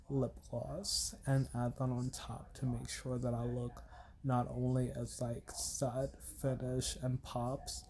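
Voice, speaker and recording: A stuffed-up young man talks through a makeup routine in an easy, rambling voiceover, with "like" in nearly every phrase. His voice is gravelly and mellow, and it stays flat in pitch. A faint hiss sits under the recording. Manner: rambling, heavy use of filler "like". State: congested from a cold. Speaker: beauty vlogger. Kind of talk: casual makeup tutorial voiceover.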